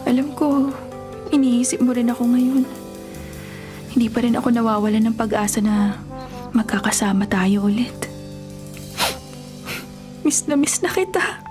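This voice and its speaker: A lovesick young Filipino heiress played by an actress in a radio drama. Her voice is fairly high and plaintive, wounded yet earnestly hopeful. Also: unhurried, pauses often, music in the background, lightly acted. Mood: wistful, longing. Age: young adult.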